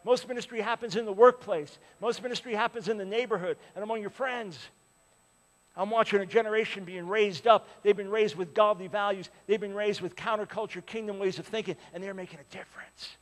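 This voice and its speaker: An older male preacher in his sixties, his voice pitched high for a man. He swings from loud, ringing appeals to hushed pleading. The sound rings on in a large church hall. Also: steady tone, a little fast. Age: 60s. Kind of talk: sermon.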